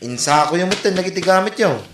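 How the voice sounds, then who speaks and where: A man's voice: sits high, animated, fast, slight background noise. A man playing an exasperated grown son in a comedy drama, reproachful and plain-spoken. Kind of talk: Ilocano comedy radio-style drama. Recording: roomy echo on the voice.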